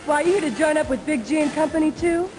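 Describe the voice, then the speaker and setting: Brash lady hustler voiced by a woman, high pitch, teasing, streetwise, video game cutscene, faint music behind. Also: fast, darkish tone, lightly acted, rough recording. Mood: cocky, challenging. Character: sassy, brash female pool hustler.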